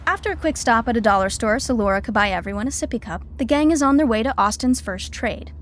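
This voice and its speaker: A woman narrating a podcast sitcom with a wry, smooth delivery. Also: on the high side, expressive, quick pace, slight background noise.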